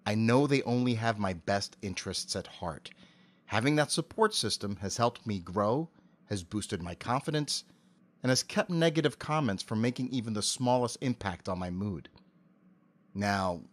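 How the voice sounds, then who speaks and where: Articulate man giving interview answers. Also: mid-range voice. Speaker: interview guest, amateur voice actor and animator.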